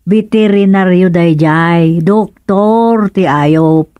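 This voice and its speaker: A woman's voice: fairly low pitch, takes it slowly, dry recording, lo-fi sound. A woman with an Ilocano accent playing a frank, knowing middle-aged village wife and mother in a radio comedy-drama. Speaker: radio serial actor.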